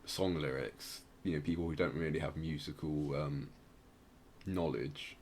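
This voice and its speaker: A man's voice: rumbling low, unhurried, a little hiss or hum. A man hosting a podcast, casually explaining music in a hesitant, rambling way. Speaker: podcast host.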